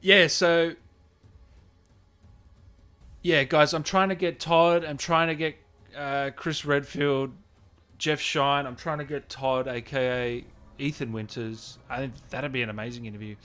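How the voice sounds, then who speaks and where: A man's voice: mid-range voice, fairly even intonation, a little slow, fairly bright tone, clean tone. A man hosting a livestream in casual, chatty style, often repeating his phrases as he talks to viewers. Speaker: livestream host, gaming interviewer. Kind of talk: casual livestream chat, reading and answering comments.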